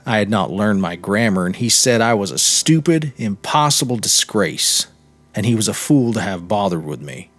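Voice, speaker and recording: A man narrating a literary short story in a measured, expressive storytelling manner. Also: on the low side, no echo. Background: music.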